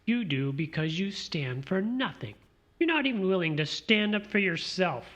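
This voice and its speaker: A man's voice: high voice, sing-song, normal speed, fairly bright tone, lo-fi sound. A man playing a smug, needling partner in a radio play, taunting in a theatrical way.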